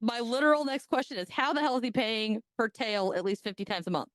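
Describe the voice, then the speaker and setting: A woman's voice: a little high, steady tone, fairly quick, dry recording. A woman co-hosting a podcast, telling a story in casual chat and cracking crude jokes with a laugh. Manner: bawdy, joking, laughs often. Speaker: podcast co-host.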